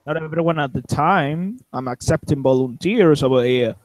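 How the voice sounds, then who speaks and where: A man moderating an online group chat, asking questions in careful, slightly halting English whose first language is Spanish. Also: fairly high pitch. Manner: slow, careful non-native phrasing. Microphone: video call.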